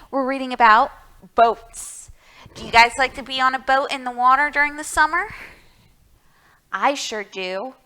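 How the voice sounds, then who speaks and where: A woman's voice: high-pitched, pushed and bright, smooth. A woman hosting a library storytime, talking to young children and reading picture books aloud with questions and emphasis.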